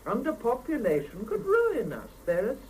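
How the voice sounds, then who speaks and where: A woman's voice: fairly high pitch, wildly swooping pitch, moderate pace, a little mellow. A firm, indignant Soviet loyalist in an old radio play. Her intonation rises and falls freely as she argues. She sounds slightly off-mic on a faintly hissy tape. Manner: firm, argumentative, earnest. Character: earnest, indignant, party-loyal Soviet woman colleague. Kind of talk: 1970s radio drama acting. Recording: hissy, distant 1975 radio cassette recording.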